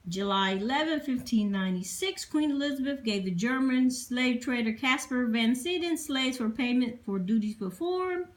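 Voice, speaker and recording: A woman presenting history informally, reading from notes in a halting way and spelling out difficult words. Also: a little high, lively intonation, poor audio. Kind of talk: informal lecture reading dated notes aloud.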